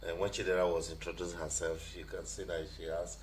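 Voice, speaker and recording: A Nigerian man answers as a radio interview guest in a very deep voice. He explains in a conversational style, with a clear sound of other people behind him. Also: level tone, fast talker, a loud crowd.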